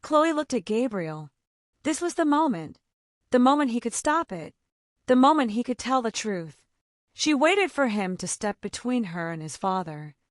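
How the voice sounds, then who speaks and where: A woman narrating a dramatic romance story in a scripted, expressive reading. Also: fairly high pitch, moderate pace, no echo, studio quality.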